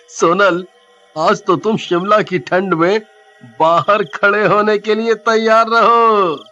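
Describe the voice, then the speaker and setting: Cocky young male scoffer for a ghost story, played by a man: high, slow, taunting, slightly muffled recording. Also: steady tone, bright, forceful tone, faint music behind, full character voice. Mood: mocking. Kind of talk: scripted Hindi audio story acting.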